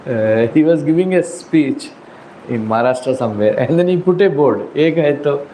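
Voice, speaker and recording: A man's voice: on the high side, expressive, fairly quick, mellow, a little hiss or hum, poor audio. A man from South India with a South Indian English accent, giving a casual scripture class with chatty asides, small laughs and bits of Hindi. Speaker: spiritual teacher.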